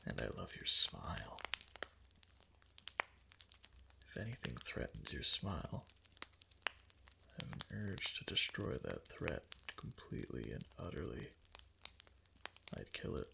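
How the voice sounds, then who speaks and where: A man playing a doting, obsessively devoted fox-spirit husband in an intimate roleplay ASMR, speaking softly and tenderly in breathy, halting endearments. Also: very deep voice, very animated, background noise, heavily acted. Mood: tender, adoring, then menacing, obsessed. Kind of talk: intimate roleplay ASMR, soft and partly whispered.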